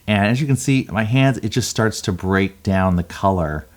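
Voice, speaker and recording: A man with a notably low voice hosts a casual creator vlog. He explains things in a conversational, walkthrough manner, as if guiding viewers through his process. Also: moderate pace. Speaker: video creator and channel host.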